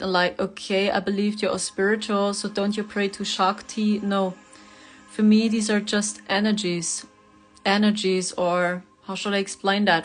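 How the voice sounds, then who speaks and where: A woman whose first language is German, hosting a casual spiritual livestream, reading viewer comments and laughing often. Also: mid-range voice. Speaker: spiritual reader, livestream host.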